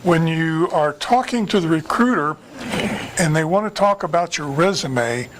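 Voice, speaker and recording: A man's voice: on the high side, expressive, normal speed, a bit bright, rough recording. A man co-hosting an advice segment, putting prompting questions to his guest expert as interviewer. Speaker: interviewer and co-host. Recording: faint background noise.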